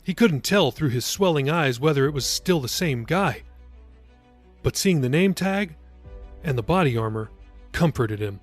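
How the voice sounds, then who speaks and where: Guy narrating an audiobook, slightly high husky voice, reading out story scenes. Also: lively intonation, normal speed, faint music behind. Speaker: author narrating his own novel.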